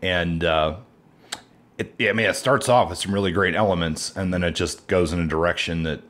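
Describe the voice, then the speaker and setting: Male podcast co-host, very low voice, relaxed casual chat. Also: moderate pace. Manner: relaxed, rambling with restarts.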